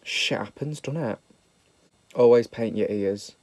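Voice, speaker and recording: A man's voice: mid-range voice, measured pace, a little mellow, no echo. Young British man, nasal from a cold, camp and sweary, self-deprecating vlog chat. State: slightly nasal from a sniffle. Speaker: makeup vlogger. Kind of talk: casual makeup tutorial chat.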